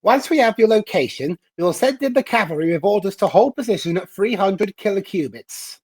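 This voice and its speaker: Husky, firm woman ally acted by a woman. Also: mid-range voice, lively intonation, fairly quick, darkish tone. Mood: businesslike. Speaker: comic dub voice reader. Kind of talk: acted comic book reading.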